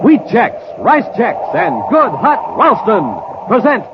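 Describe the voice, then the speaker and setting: A man's voice: very high-pitched, big pitch swings, takes it slowly, gravelly, a loud crowd. Dramatic, swooping 1950s American radio announcer man. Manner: dramatic, emphatic showman delivery. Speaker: announcer and narrator. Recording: muffled, noisy 1950s radio recording.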